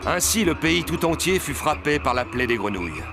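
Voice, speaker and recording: Male narrator, fairly high voice, music behind. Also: sing-song, normal speed. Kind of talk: scripted storybook narration. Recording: music under the voice.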